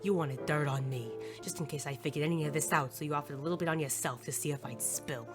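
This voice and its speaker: A hard-boiled detective of noir audio drama, acted by a man, pitched between low male and female range. He is blunt and wary yet lovestruck, speaking intimately over faint music. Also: quick pace, natural voice. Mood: accusing, angry. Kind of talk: noir audio drama with first-person narration.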